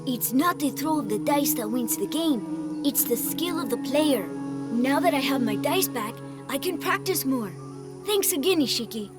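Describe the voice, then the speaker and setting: A woman's voice: high-pitched, animated, measured pace. A woman voicing a youthful, eager dice player in a video game, defensive like a sore loser. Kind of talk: video game cutscene acting. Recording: loud music behind the voice. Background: loud music.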